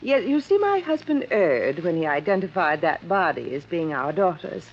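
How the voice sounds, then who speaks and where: A woman's voice: on the low side, sing-song, moderate pace. An actress playing a proper, frosty middle-aged mother in a 1950s radio drama, formal and insistent. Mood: genteel, controlled. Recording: dull, faintly noisy vintage radio recording.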